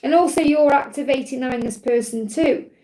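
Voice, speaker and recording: A woman hosting a live spiritual card reading, her voice croaky from overwork, reassuring and repeating her key phrases. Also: high-pitched, brisk, mellow, rough recording. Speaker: spiritual card reader, livestream host.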